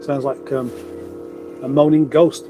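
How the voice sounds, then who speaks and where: A man's voice: mid-range voice, level tone, measured pace, noisy background. A man with a runny nose, hosting a casual livestream, rambling chattily with wry asides as he reads out comments. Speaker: livestream host. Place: garage.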